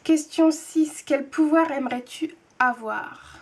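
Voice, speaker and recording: A woman's voice: high-pitched, takes it slowly. A woman in her twenties chatting casually to camera, hesitant with many fillers and restarts as she answers tag questions. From France. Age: 20s. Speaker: booktube vlogger, nurse.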